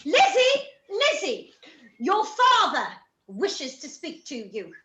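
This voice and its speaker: A woman's voice: very high voice, huge pitch swings, slow pace, sharp tone, roomy sound, full character voice. An actress playing a fretful, overwrought middle-aged mother in a period radio play, with theatrical delivery. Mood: fretful, insistent. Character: shrill, melodramatic, fretful middle-aged mother of marriageable daughters. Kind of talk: period drama live-read radio play.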